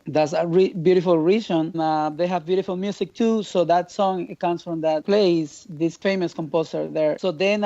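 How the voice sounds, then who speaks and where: A man from Mexico with a Mexican accent, a native Spanish speaker, speaking haltingly as a percussionist guest on a video call. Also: high voice, warm tone, lo-fi sound. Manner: halting, searching for words. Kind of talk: casual remote interview.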